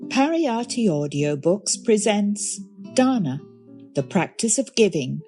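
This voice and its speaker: A woman's voice: medium pitch, big pitch swings, slow pace, over music. A woman audiobook narrator reading a formal scripted introduction.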